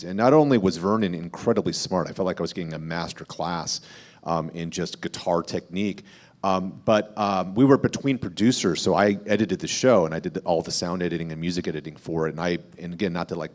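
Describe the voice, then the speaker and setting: A man's voice: deep voice, darkish tone. A man who hosts a podcast, talking in a casual, discursive way on a panel. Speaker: music podcast host and panelist.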